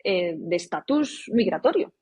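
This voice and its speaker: A woman's voice: on the low side, big pitch swings, normal speed, warm tone, husky. A woman from Spain, a podcast guest, talking in a casual interview and often restarting and repeating words for emphasis. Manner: frequent restarts, emphatic repetition.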